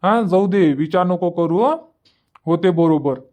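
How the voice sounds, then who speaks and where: Man playing a high-pitched rustic Varhadi elder brother. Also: a little slow, darkish tone. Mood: reassuring, firm. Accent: Varhadi Marathi of Vidarbha. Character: rustic elder brother, reassuring, bossy protector of his sister. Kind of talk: dialect cartoon comedy dialogue.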